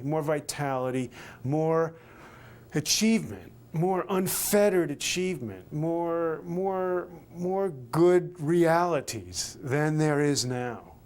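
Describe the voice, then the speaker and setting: A man's voice: on the high side, darkish tone. An American man speaking reflectively and emphatically in a documentary interview, building his point in repeated phrases. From United States.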